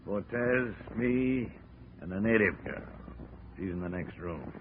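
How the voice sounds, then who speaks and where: A ruthless gangster who barks orders and sneers at his hostages, his voice rising and falling sharply. He comes through a dull, muffled 1940s radio broadcast. A steady noise hangs under the sound. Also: lowish voice, takes it slowly. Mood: sneering. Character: ruthless armed male gangster, hostage taker.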